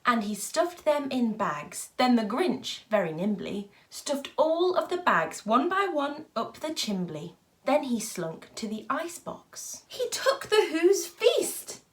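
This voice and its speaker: A woman reading a storybook aloud in an expressive, theatrical way, with dramatic emphasis and pauses. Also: high voice. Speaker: storybook reader.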